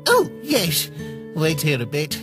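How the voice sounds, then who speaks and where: Loud theatrical cartoon skeleton, proud n naive, pitch flies all over, music behind. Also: male voice, wildly swooping pitch, takes it slowly, full character voice. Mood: cheerful, eager. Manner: exclamatory, grandly enunciated delivery. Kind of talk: cartoon comic dub acting.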